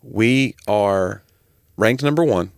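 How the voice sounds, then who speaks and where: A man's voice: lowish voice, slow, vocal fry, clean tone, no room sound. An American man in his forties, a podcast co-host, chatting casually and wryly, close on the microphone. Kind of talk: casual chat. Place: small home room, indoors. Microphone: close podcast microphone on boom arm.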